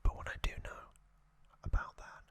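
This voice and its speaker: Asmr host whispering real slow, short careful phrases, crisp bright whisper, voice a bit off. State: voice slightly off after singing hard. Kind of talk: whispered ASMR trivia narration.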